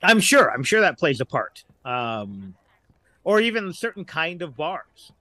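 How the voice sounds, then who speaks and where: A middle-aged man talking casually as a podcast guest, a writer who haltingly searches for examples as he goes. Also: on the high side, big pitch swings, bright, forceful tone, no echo, soft background music.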